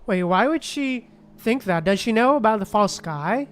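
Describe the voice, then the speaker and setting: A woman's voice: on the high side, lively intonation, moderate pace. Casual woman streamer reacting to a game. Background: soft game music.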